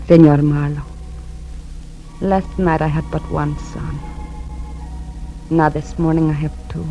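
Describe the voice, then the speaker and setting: A woman's voice: low-pitched, steady tone, warm tone. A woman playing a tender, worn old mother with a Spanish accent, speaking formally in a radio drama. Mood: solemn, grateful. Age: older. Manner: solemn, formal, halting. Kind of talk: 1950s radio drama acting. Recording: muffled old radio broadcast, music underneath.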